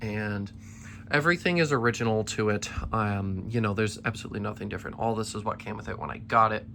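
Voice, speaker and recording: A man's voice: on the low side, fast talker, creaky, bright, forceful tone, slight background noise. Tired-voiced man rambling about fixing fans. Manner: rambling, eager, with many restarts. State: tired, slightly worn voice. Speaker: ceiling fan collector. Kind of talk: casual vlog update. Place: basement collection room.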